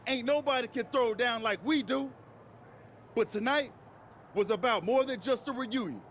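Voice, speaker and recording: A man's voice: extremely high pitch, moderate pace, a bit bright, slight background noise. A man playing a defiant, loyal tag-team wrestler in a video game, talking in slangy, emphatic short phrases. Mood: rousing, defiant. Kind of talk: wrestling promo and video game cutscene acting.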